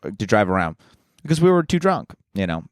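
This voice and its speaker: Dude on a comedy podcast, slangy crude casual banter, dry studio-close sound. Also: male voice, fairly low pitch, sing-song, fairly quick, no room sound. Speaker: podcast co-host.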